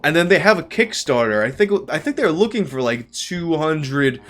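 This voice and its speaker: A man's voice: fairly high pitch, sing-song, moderate pace, a bit bright. A young man answering viewer questions on a livestream, talking in a candid, rambling, profane way. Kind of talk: casual livestream Q&A.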